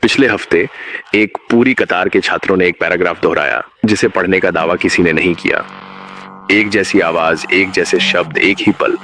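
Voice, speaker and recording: A man narrating a scripted horror story in a measured, ominous manner. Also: sits low, pushed and bright, a little hiss or hum.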